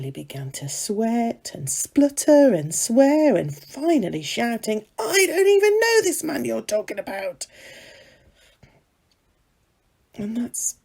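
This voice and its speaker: A woman reading a dramatic monologue as a gossipy serving maid of ancient times, confiding and conversational, with dramatic pauses. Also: on the high side, mellow, breathy edge, heavily acted. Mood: animated.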